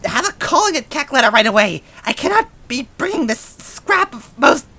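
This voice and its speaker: A man's voice: sing-song, moderate pace, pushed and bright, heavily acted. A man voicing a furious small villain in a story, screeching and ranting in mangled, broken grammar. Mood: enraged, exasperated. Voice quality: raspy, screechy. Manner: shrill ranting with mangled foreign-sounding grammar.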